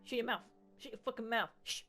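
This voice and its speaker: A woman's voice: fairly high pitch, quick pace, sharp tone, soft background music. A woman giving casual, sarcastic game playthrough commentary, informal and given to swearing. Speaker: gaming video host.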